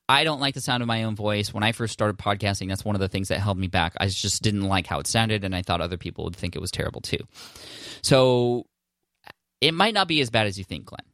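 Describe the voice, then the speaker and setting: Low-voiced man giving friendly podcast advice. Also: a bit bright, no room sound, studio quality. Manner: conversational, encouraging, restarts often. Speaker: podcast host. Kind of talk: casual advice monologue. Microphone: podcast microphone, standing.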